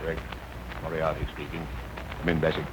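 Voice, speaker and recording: An actor playing a calculating, menacing criminal mastermind in a radio drama, measured, ominous and commanding. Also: male voice, very deep voice, monotone. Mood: cold menace. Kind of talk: 1930s radio acting. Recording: muffled, noisy 1930s radio recording.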